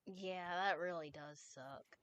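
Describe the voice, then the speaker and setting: A woman's voice: lowish voice, slow pace, a bit bright, dry recording. A woman co-reading a fanfic on a live stream, chatting casually with swearing and restarts, on a close desk mic.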